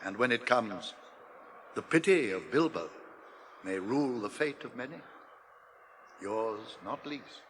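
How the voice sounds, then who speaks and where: An actor playing a grave, compassionate old wizard in a radio drama, solemn and deliberate. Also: male voice, mid-range voice, animated, fairly bright tone, a little hiss or hum.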